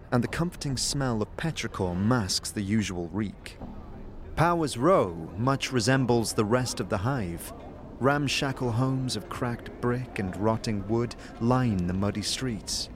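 A man narrating a fantasy audio drama in a measured, descriptive storytelling manner. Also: medium pitch, close to an everyday voice. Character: male storyteller of a grim fantasy adventure. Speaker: narrator and protagonist. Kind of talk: scripted second-person fantasy narration.

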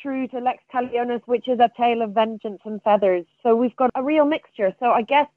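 A woman's voice: fairly high pitch, a little fast, no echo. A woman being interviewed as a theatre artistic director, speaking in an enthusiastic, reflective way over a phone-like line. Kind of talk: interview conversation. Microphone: remote phone or call.

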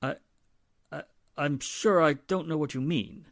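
A man's voice: a little high, dry recording, clean studio sound. An actor playing a haughty, formal, wealthy father in a 1940s-style radio mystery. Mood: indignant, rattled. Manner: formal, pompous, measured. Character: polished, haughty wealthy father, secretly guilty.

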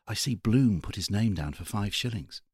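Man acting a wry, elegant gentleman. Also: lowish voice, lively intonation, normal speed, slightly rough, no room sound, studio quality, pitched above the performer's own voice.

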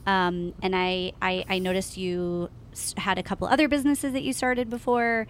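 Host di podcast: donna chiacchierona, scherzosa, ride facilmente, voce di altezza media. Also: female voice, mid-range voice, fairly even intonation, a little hiss or hum. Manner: chatty, playful, quick to laugh. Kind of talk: casual interview.

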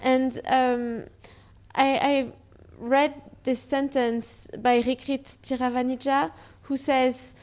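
A woman interviewer with a high voice speaks slowly and deliberately. She builds long, careful questions about an artist's work. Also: flat delivery, darkish tone.

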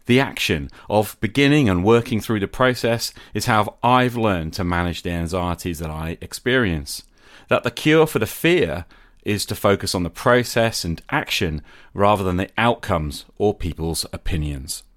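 A man hosting a podcast, delivering a scripted, reflective monologue in a deliberate, explanatory way that addresses the listener directly. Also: fairly low pitch, lively intonation, a bit bright, dry recording, studio quality. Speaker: podcast host.

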